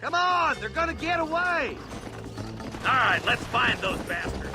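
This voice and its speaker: High-pitched, commanding western lawman. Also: very animated, unhurried, over music, heavily acted. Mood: urgent. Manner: barking folksy orders. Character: commanding, gruff western lawman leading a posse. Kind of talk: western video game acting.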